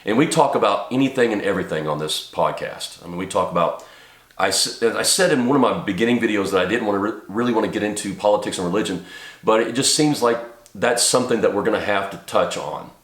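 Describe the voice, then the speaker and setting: A man with a very low voice talks to camera, a little stuffed up from a cold. He rambles earnestly, with lots of ums and restarts. The room around him is echoey. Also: fast talker, a bit bright. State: congested from a cold. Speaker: channel host and small business owner. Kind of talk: casual talk to camera. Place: home office.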